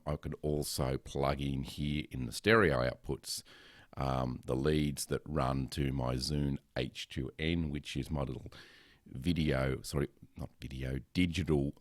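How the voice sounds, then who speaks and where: A man who runs a podcast, chatting casually about his recording gear in a loud, resonant voice, rambling with lots of ums and self-corrections into a close headset microphone. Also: rumbling low, gravelly, no echo. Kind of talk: casual gear talk to camera. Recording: dry, close sound.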